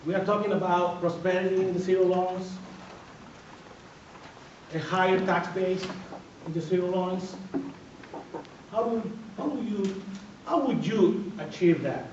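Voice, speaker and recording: A man with a Dominican Spanish accent speaks in a fairly high voice, giving an emphatic campaign speech at a forum and driving his points home by repeating them. He sounds far from the microphone in a very echoey hall, and the radio recording is dull. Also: normal speed, long pauses, darkish tone, a little hiss or hum. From Dominican Republic. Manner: emphatic, repetitive, builds to firm declarations. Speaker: mayoral candidate.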